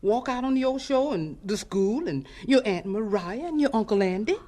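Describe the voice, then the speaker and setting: A woman's voice: on the high side, lively intonation, normal speed, warm tone. A woman playing a blunt but caring older aunt with a folksy manner in a radio drama. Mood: stern, challenging.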